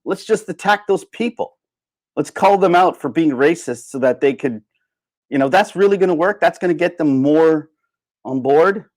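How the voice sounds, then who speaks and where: A man's voice: on the high side, normal speed, darkish tone, no room sound, studio quality. A man hosting a casual, opinionated livestream monologue, speaking informally and emphatically, repeating phrases to drive his points home. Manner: informal, with occasional swearing. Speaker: livestream host.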